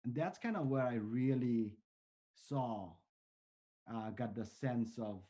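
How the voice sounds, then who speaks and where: Male founder, forties, from Bangladesh; relaxed dark timbre, earnest conversational answers. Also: medium pitch, slow. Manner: earnest, talks in long strings of restarts and fillers. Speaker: startup founder, interview guest.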